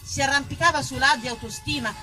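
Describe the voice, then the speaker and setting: A woman from Tuscany performing a theatrical monologue as an actress and storyteller, expressive and emphatic, dwelling on single words. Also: sits high, fast talker, faint music behind. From Tuscany, Italy. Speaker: stage actress, writer and storyteller.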